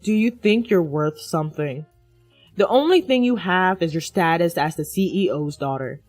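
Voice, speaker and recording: An arrogant young man, drunk and full of contempt, as a woman narrator acts him out. The delivery is mocking and scornful, in the manner of a dramatized story. The sound is dry, with soft music underneath. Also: lowish voice, lively intonation, moderate pace, no room sound. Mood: contemptuous. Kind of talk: dramatized audio story dialogue.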